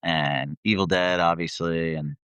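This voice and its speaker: A man's voice: sits low, fairly even intonation, unhurried, fairly bright tone, clean tone, no room sound. A man chatting casually as an interview guest, rambling and self-deprecating, with some swearing. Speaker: guest, metal band vocalist. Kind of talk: casual chat.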